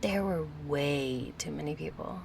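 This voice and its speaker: Slow-paced, casual young woman friend, acted. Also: sits low, vocal fry, darkish tone, slight background noise. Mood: playful, chatty. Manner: casual, hesitant, playful. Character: kind, chatty young female friend, gently yearning for closeness. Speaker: audio roleplay voice actor. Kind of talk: intimate audio roleplay acting, one side of a conversation.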